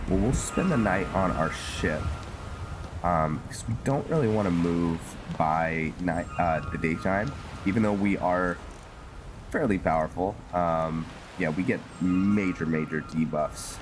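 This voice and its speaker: A man giving casual gameplay commentary, musing aloud in a halting, hesitant way, with music behind him. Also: low-pitched. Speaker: gamer playing and narrating a fantasy roleplay series. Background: loud game music under the voice.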